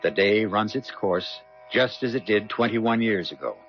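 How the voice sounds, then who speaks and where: An actor narrating a 1970s radio mystery as a solemn, knowing host, theatrical and deliberate. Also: male voice, on the low side, expressive. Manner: theatrical, deliberate, dramatic emphasis. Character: solemn, knowing male storyteller of eerie tales. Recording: muffled old radio broadcast with music.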